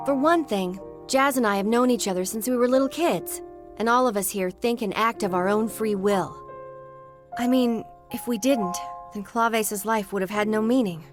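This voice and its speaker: Lady playing frank young game heroine, spirited and caring, highish voice, music behind. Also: natural voice. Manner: frank, direct. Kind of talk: video game voice acting.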